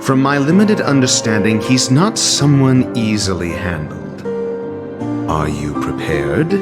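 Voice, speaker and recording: A condescending scholar doctor in a video game, low-voiced and slow. His delivery is dry yet swings widely in pitch, over game music. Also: animated. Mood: haughty. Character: haughty, clever male scholar doctor. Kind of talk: game voice acting. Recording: game audio with music behind.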